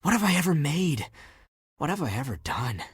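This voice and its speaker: A living heap of laundry in a dating game, a male flirt with a husky, rough-edged voice. He is theatrical and emotive, all swagger over a sensitive core. The sound is close and dry, with no room around it. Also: a little high, big pitch swings, normal speed, no echo, full character voice. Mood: agitated, impassioned. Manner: flirtatious, theatrical, emotive, swinging from guarded to grandiose. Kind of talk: dating game character voice acting.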